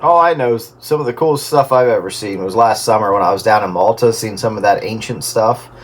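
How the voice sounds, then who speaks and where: A man's voice: lowish voice, brisk. A man talking in a loose, conversational way in a casual radio round-table.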